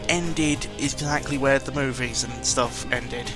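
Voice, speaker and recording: Male gaming vlogger, mid-pitched, loose off-the-cuff delivery, hesitant and grumbly, clear music underneath. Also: steady tone, slow. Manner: hesitant, meandering, opinionated grumbling with mild swearing. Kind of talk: rambling casual audio log over gameplay.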